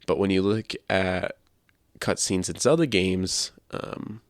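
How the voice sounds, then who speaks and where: A man co-hosting a podcast, talking casually about video games in a measured, explanatory and opinionated way. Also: sits low, dry recording, studio quality. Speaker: podcast co-host.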